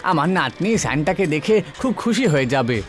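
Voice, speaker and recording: A man voicing a poor, timid old grandfather who speaks haltingly and humbly in an animated story. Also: fairly high pitch, soft background music. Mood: humble, nervous.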